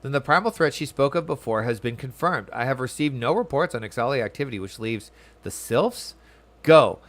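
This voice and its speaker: A man's voice: medium pitch, animated, a little fast, close to an everyday voice. A man voicing an earnest, kindly but self-righteous young noble from a game, with formal, archaic phrasing. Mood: urgent, proud. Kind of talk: reading game dialogue aloud.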